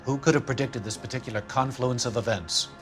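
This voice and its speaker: An actor playing a smug, middle-aged, corrupt insurance vice president in a video game, haughty, wordy and condescending. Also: male voice, mid-range voice, a little fast, faint music behind, lightly acted. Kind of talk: dramatic video game acting.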